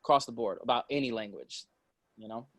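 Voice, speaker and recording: A man's voice: medium pitch, brisk, a bit bright, no room sound. A man speaking animatedly and emphatically on a casual panel, full of slang, "like" and "right?".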